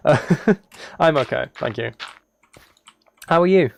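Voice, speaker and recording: A man chatting casually as a live game streamer, musing aloud in a relaxed, low-key way. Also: takes it slowly, creaky. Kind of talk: casual chat over Minecraft gameplay.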